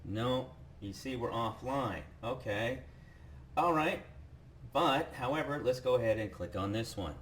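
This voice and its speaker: A man presenting a software demo as a casual, enthusiastic sales pitch, talking the viewer through each step. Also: animated, a little slow, slight background noise.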